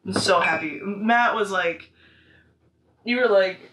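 A young American woman chatting casually and excitably to camera as a vlogger showing off her purchases. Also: mid-range voice, takes it slowly. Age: young adult. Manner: excitable, gushing. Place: small living room, on a couch. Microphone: camera mic a few feet away. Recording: roomy echo, noisy camera audio.

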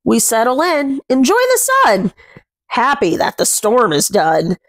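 Slow, high-voiced woman reading to kids. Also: huge pitch swings, no room sound. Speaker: children's read-aloud host. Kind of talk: expressive picture-book read-aloud with commentary for young children. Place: small indoor room at home.

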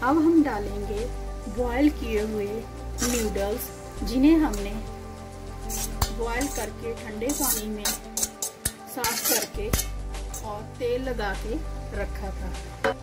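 A woman's voice: a little high, slow, long pauses, loud music behind the voice. A woman hosting a cooking channel, giving a step-by-step recipe voice-over in short, instructive phrases.

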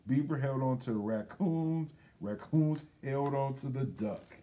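A middle-aged American man reads a picture book aloud in a casual way. His voice is mellow and medium in pitch, and he takes his time with each phrase. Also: unhurried. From Detroit, United States. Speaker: guest storybook reader, radio station employee. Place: school library, seated indoors.

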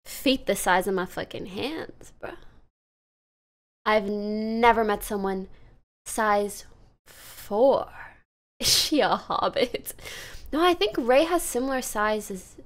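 A woman's voice: mid-range voice, takes it slowly. A young woman streamer reacting to a video, chatty and laughing often. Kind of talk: casual reaction commentary. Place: home streaming room.

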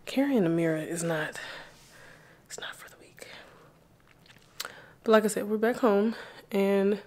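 A young woman vlogging at home, chatting casually to the camera and speaking gently to her toddler. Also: mid-range voice, unhurried. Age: young adult. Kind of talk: casual vlog chat. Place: home kitchen and bathroom, indoors. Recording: roomy home echo.

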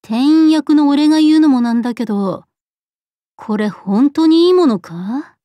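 A woman plays a scruffy, tomboyish gunner from a game. The voice is high and unhurried, with rough, offhand, boyish phrasing. It is recorded very dry. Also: expressive, mellow, no room sound. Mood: offhand, breezy. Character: scruffy tomboyish gunner minding shop, blunt and laid-back. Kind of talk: Japanese game character voice acting.

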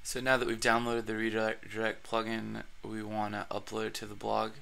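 A man hosting a screen-recorded blogging tutorial, his voice raspy from allergies, talking casually with plenty of fillers and restarts. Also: fairly low pitch, completely flat delivery, brisk, fairly bright tone.

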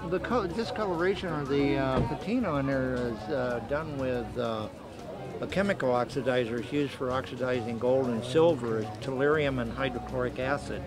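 A man's voice: mid-range voice, normal speed. Middle-aged male metalworker, plain technical talk, music behind. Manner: matter-of-fact, technical. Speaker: metal artist. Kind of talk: casual interview answers.

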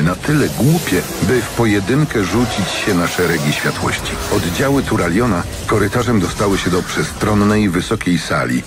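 A man narrating a dramatic fantasy audiobook from a script in a measured, solemn manner. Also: low voice, noisy background.

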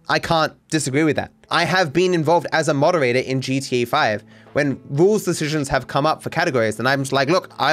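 A man's voice: medium pitch, fairly bright tone. A man in his thirties streaming from home on a desk mic, casually reacting to viewer takes in an opinionated, rambling way and swearing freely. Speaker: speedrunning streamer. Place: home streaming room, indoors.

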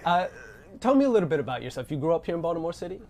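A man's voice: a little high, sing-song, very fast, mellow. A man with an American accent interviewing someone in a casual, informal way. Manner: informal, echoes answers back. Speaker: interviewer, news editor. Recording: clear ambient background noise.

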